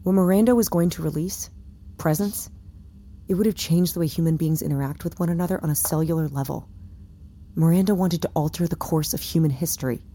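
Low, mellow, guarded defiant woman. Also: fairly quick. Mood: earnest, defensive. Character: guarded, defiant whistleblower woman under questioning. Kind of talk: audio drama acting.

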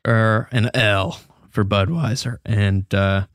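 Low-pitched young American man co-hosting. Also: fairly even intonation, slow, no echo, clean studio sound. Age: young adult. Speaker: podcast co-host. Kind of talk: conversational news commentary.

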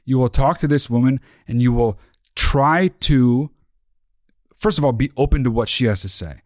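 A man's voice: medium pitch, normal speed, no room sound, studio quality. Earnest, swearing podcast man. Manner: earnest, swears often, repeats himself. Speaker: podcast co-host. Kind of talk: advice talk on a podcast.

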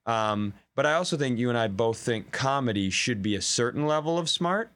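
Man, stand-up comic, mid-pitched voice, casual podcast storytelling, incredulous riffs, swearing. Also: expressive, fairly quick, a bit bright. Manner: profane, incredulous, riffing asides. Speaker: comedy podcast co-host; stand-up comedian. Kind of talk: casual comedic storytelling and banter.

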